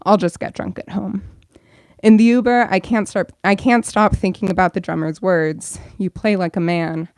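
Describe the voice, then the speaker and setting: A woman's voice: mid-range voice, fairly even intonation, normal speed, a little mellow, no room sound, clean studio sound. A young woman, a bookstore events director, welcoming an audience and then reading her own fiction aloud at a store event.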